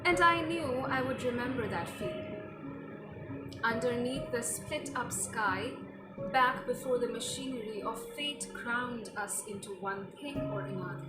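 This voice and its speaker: High-voiced woman giving a dramatic reading. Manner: slow, deliberate delivery with expressive pauses. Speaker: amateur reader performing a dramatic reading. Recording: roomy echo, distant mic, loud music behind. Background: loud instrumental music.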